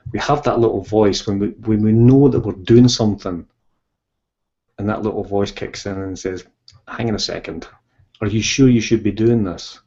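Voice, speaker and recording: A man's voice: on the low side, normal speed, mellow, highs cut off. A Scottish man in his fifties, an author chatting as a guest in a casual interview, rambling with many ums and restarts. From Scotland.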